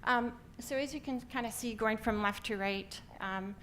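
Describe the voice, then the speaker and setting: A woman's voice: on the high side, moderate pace, a bit bright, a little hiss or hum. A middle-aged woman from Canada with a Canadian accent, giving an upbeat conference talk with many filler ums. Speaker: company founder presenting. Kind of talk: conference presentation.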